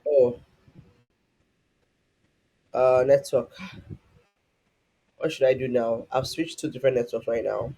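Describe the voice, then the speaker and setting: A man with a husky voice, teaching a live online class in a rambling way, full of restarts and fillers. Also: medium pitch, steady tone, takes it slowly, pauses often, warm tone. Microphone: online call.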